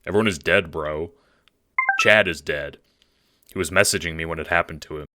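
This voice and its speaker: A man voicing a laid-back young dude in an audio drama, slangy and deadpan with hesitant pauses, masking dread. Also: rumbling low, very noisy. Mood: bleak, questioning.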